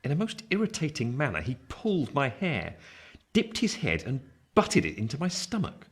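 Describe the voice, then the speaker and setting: An actor playing a grown man recalling his boyhood, narrating a literary radio play in a measured, wry way. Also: mid-range voice, huge pitch swings, slight background noise. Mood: animated, wry. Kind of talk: scripted first-person storybook narration.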